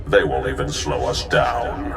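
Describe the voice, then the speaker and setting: An actor playing a reborn dark zealot leader in a video game, coldly megalomaniacal, with a grand, deliberate, menacing delivery. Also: male voice, rumbling low, animated, gravelly, exaggerated voice. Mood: grandiose menace. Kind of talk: video game cutscene acting. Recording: distant, echoing voice over loud music.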